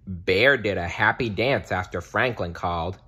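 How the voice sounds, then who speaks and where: Male children's read-aloud narrator: deliberate and expressive, unhurried, with a bright, raspy edge. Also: low voice. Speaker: storybook reader.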